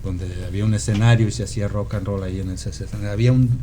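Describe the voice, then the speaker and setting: Mellow, halting Mexican man reminiscing. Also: on the low side, fairly even intonation, slight background noise. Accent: Mexican, from Guadalajara. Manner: halting, meandering storyteller who seeks confirmation with "¿no?". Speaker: podcast guest, rock musician. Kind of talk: casual reminiscing conversation.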